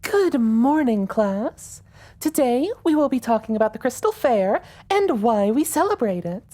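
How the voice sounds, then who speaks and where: A woman voicing a composed pony mayor teaching a class, measured and instructive, in an audio drama. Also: fairly high pitch, very animated, dark tone. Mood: kindly instructive.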